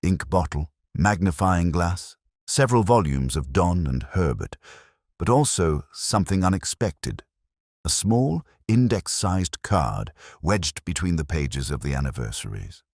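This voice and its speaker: A man playing a brooding, erudite police detective, narrating his case as a measured, melancholy, literary first-person mystery story. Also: deep voice, sing-song, a little mellow, no echo, studio quality, heavily acted. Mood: brooding, reflective.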